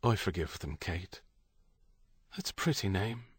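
Man voicing a wary, low-key young Irish husband. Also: a little slow, a bit bright, no echo, heavily acted. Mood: tender.

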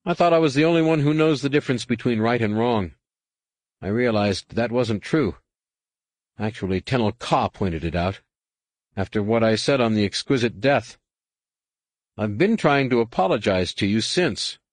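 A man voicing an earnest, idealistic young Jedi who gently moralizes and reflects, in a science fiction audiobook. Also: medium pitch, lively intonation, moderate pace, dry recording, close to an everyday voice. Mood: apologetic, sincere.

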